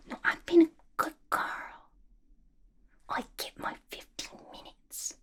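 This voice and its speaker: A woman's voice: slow pace, close to an everyday voice. A woman playing a manic, chatty, mischievous young female villain in an asylum, in a playful, slangy ASMR roleplay whisper. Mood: petulant, wheedling. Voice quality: breathy whisper. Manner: playful, slangy, conspiratorial gossiping.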